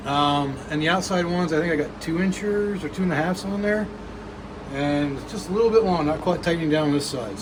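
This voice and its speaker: A man narrates his repair work step by step in a casual, filler-heavy way and pushes his voice out loud. His voice sits a bit high for a man. Heavy rain drums on the metal roof of the shop, and he is picked up by a camera mic some distance away. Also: a little hiss or hum. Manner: explains each repair step as he works, with many fillers; speaks up loudly over the rain. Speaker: mechanic and channel host. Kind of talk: casual garage how-to narration.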